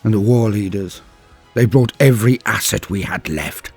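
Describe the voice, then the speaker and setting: A man's voice: lowish voice, a little mellow, breathy edge, no room sound, faint music behind. A man voicing a bitter, proud space marine warrior in grave, declamatory dramatic storytelling. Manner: grave, declamatory, deliberate phrasing. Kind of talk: dramatic first-person story narration.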